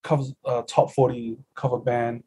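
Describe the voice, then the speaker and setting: A middle-aged man from New talks in an easygoing, laid-back way. His voice sits at a middle pitch and sounds husky and a bit raspy. He comes in over a video call. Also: flat delivery, moderate pace, mellow. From New Zealand. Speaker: musician guest. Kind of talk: casual interview chat.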